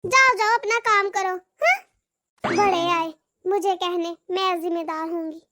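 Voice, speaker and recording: A woman voicing a defiant, scornful middle-aged mother who scolds emphatically in an animated family drama. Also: extremely high pitch, unhurried, noisy background. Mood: scornful, dismissive. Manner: scolding, emphatic, rhetorical questioning.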